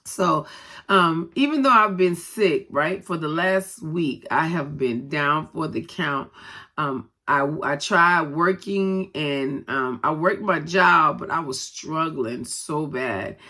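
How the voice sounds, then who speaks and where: A woman's voice: low-pitched, slow pace, pushed and bright. Stuffy-nosed woman vlogging to her viewers. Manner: conversational, many "um"s, talks straight to viewers. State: stuffy nose from a head cold. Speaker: vlogger and co-pastor. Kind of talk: casual personal vlog talk.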